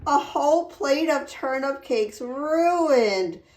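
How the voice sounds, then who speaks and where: A small, whiny storybook child, acted out by a woman. The voice is pitched up and drawn out, plaintive and upset. It sounds in an echoey room. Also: animated, slow, a little mellow. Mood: wailing, upset. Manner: wailing, plaintive. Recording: roomy echo.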